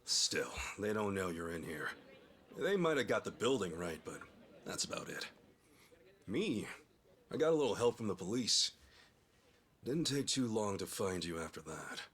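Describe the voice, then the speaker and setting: A man's voice: on the low side, sing-song, moderate pace, long pauses, fairly bright tone, slight background noise. An actor playing an easygoing, sincere, loyal man in a video game cutscene, with an American accent and informal, earnest delivery. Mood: wry, casual. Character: easygoing, loyal, sincere adult man, a yakuza's forgiving pal. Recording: music behind the voice.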